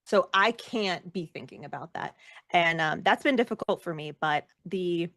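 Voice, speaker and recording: Low-voiced woman in casual conversation. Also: normal speed, fairly bright tone, dry recording. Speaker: guest, actor and performer.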